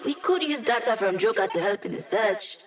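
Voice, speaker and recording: A wry, teasing young hacker. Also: female voice, a little high, animated, a loud crowd. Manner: wry, teasing, quick quips. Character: witty young female hacker, playful and sly. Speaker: hacker support character. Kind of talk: video game voice lines.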